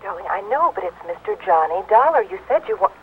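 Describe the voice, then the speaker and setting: An actress playing a polite, flustered wife, fluttery, heard through a telephone in a 1950s radio drama. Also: female voice, medium pitch, sing-song, fast, a little hiss or hum. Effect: telephone filter. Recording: tinny phone line, old radio recording.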